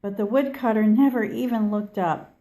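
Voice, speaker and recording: A woman reading a children's story aloud expressively, with measured delivery and dramatic pauses. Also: medium pitch, dark tone. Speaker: storybook reader.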